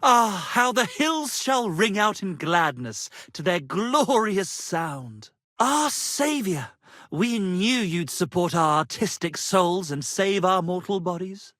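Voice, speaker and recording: A man voicing a pompous, flattering, melodramatic medieval minstrel in a video game, with florid, grandiose delivery. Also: high-pitched, slow, no room sound, studio quality, full character voice. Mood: grandiose, grateful. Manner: florid, grandiose, declamatory, swinging between rapture and despair. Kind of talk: theatrical video game character acting.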